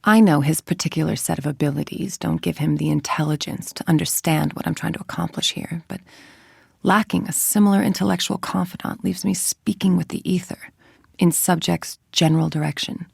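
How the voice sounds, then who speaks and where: A woman's voice: low voice, fairly even intonation, fairly quick, creaky, a little mellow, husky, no room sound, studio quality, exaggerated voice. A woman playing a calculating, controlled female scientist villain in a video game, with articulate, formal and dryly condescending delivery. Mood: brooding, musing. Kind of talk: scripted game monologue, dictated logs and letters.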